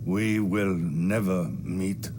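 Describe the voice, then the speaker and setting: An actor playing a ruthless, falsely friendly Soviet premier in a video game, with a Russian accent and a pompous, declamatory delivery. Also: male voice, low voice, steady tone, extremely slow, noisy background. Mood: cold, gloating. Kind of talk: theatrical video game cutscene acting.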